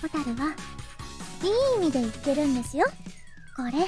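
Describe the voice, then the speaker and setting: High girlish voice, teenage schoolgirl, polite, teasing, faintly mysterious, fast talker, visual novel, loud music behind. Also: sing-song. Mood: gently teasing. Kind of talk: Japanese visual novel voice acting. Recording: background music under the voice.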